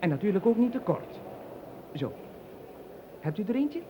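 An eccentric, bossy industrialist in a comic radio play. His voice sits a little high and leaps around theatrically. He is fussy, long-winded and grandly insistent. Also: big pitch swings, normal speed, noisy background. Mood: coaxing, insistent.

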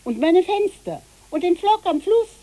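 A woman's voice: extremely high pitch, sing-song, a little slow, warm tone, heavily acted, poor audio. An actress playing a dreamy, eccentric boat-rental woman in a literary radio play, musing and then giving orders. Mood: urgent, instructing. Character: dreamy, eccentric woman who rents out boats.

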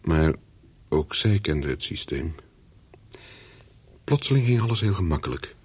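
A man's voice: deep voice, animated, unhurried, darkish tone, slightly rough, heavily acted. An actor in a radio play as a nervous, guarded man on the run, speaking tersely and narrating his own story. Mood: brooding narration. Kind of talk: radio play acting with first-person narration.

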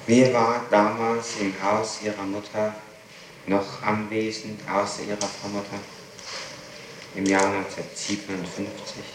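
A man's voice: on the low side, flat delivery, unhurried. A man putting formal, insistent questions as a prosecutor in an interrogation, in a matter-of-fact way. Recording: echoey, noisy archival 1960s interrogation tape.

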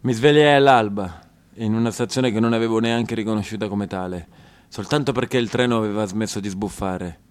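A man's voice: on the low side, brisk, clear, resonant tone. A man from southern Italy performing a theatrical stage monologue, with a rhythmic, rhyming delivery that often slips into song. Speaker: actor and storyteller. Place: theatre stage, radio broadcast of a live show. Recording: music playing under the voice. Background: live band with guitar and accordion.

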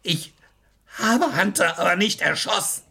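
Husky cornered lawyer confessing. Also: male voice, high voice, a little slow, sharp tone, exaggerated voice. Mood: menacing, defiant. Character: cornered lawyer confessing to murder, cold and self-righteous. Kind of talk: 1970s German radio crime drama acting.

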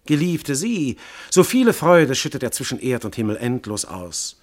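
An ardent god posing as a mortal husband in a classical radio play. His declamation is lofty and theatrical, swinging widely in pitch as he probes and commands his beloved. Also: very animated, moderate pace. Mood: yearning, grand. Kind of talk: classical German verse radio drama acting.

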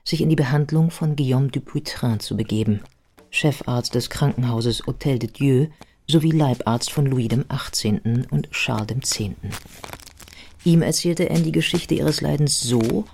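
Mellow male documentary narrator. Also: mid-range voice, normal speed, vocal fry, a little hiss or hum. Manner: matter-of-fact. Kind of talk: scripted documentary narration in a radio play.

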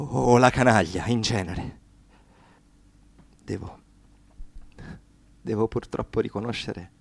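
An actor playing a weary, despairing political activist in a radio play, speaking haltingly and deliberately. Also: male voice, mid-range voice, creaky, no room sound. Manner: halting, deliberate, with repeated words. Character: weary, despairing young male political activist reading his confession. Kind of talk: radio theatre monologue.

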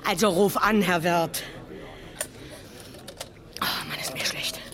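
A nosy working-class Berlin woman in a 1970s radio crime play. Her lowish voice swings widely in pitch as she rattles on, colloquial, garrulous and easily worked up. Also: expressive, takes it slowly, a bit bright. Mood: shaken, agitated. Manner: garrulous, colloquial, breathlessly stammering in agitation. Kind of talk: 1970s German radio crime drama acting.

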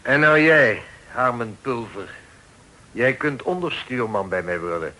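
A man's voice: medium pitch, expressive, a little slow, bright, forceful tone, slight background noise, low-quality recording. A man acting a curt, authoritative pirate chief with formal speech in an old radio play. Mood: commanding. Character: commanding, self-assured pirate chief, a father.

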